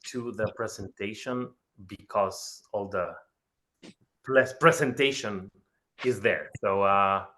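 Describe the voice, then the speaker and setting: A man's voice: on the low side, takes it slowly, sharp tone, a little hiss or hum. A man from Ecuador giving an enthusiastic webinar presentation over a video call, often repeating words for emphasis. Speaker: guest speaker.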